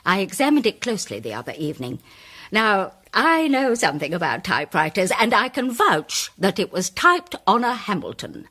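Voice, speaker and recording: Theatrical, swooping, husky lady orator. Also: mid-range voice, big pitch swings, pushed and bright, exaggerated voice. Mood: emphatic, triumphant. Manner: declamatory, grandiloquent, deliberate emphasis. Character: theatrical, self-important woman playwright presenting her case. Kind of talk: dramatised audio mystery, formal speech-making.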